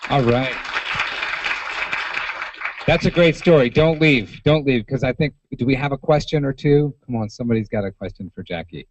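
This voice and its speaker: A man's voice: medium pitch, moderate pace, dry recording. The man who runs question time at a conference, heard on a dull recording over a faint audience. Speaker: conference host moderating questions. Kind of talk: informal audience moderation. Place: conference hall. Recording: muffled event recording, some crowd.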